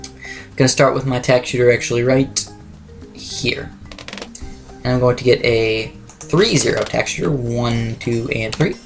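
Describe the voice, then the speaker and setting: A young man with a slightly raspy voice, talking quickly through game strategy as a let's play commentator. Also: mid-range voice, steady tone, faint music behind. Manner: fast, chatty, self-correcting strategy talk. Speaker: gameplay commentator.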